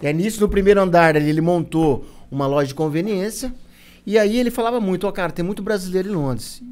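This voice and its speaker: A man's voice: high voice, fast talker, darkish tone. A Brazilian man telling personal stories as an interview guest, in a colloquial, casual way with lots of quoted dialogue. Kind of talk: casual anecdotal storytelling.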